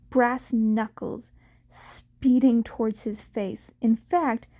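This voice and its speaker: A woman reading scripted story narration as an audiobook narrator, slightly halting in her delivery. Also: fairly high pitch, warm tone.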